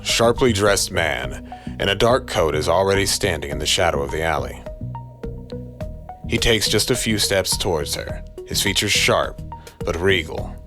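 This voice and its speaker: A man narrating a scripted noir horror story in a deliberate, dramatic way. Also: deep voice. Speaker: narrator and voice actor. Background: faint music.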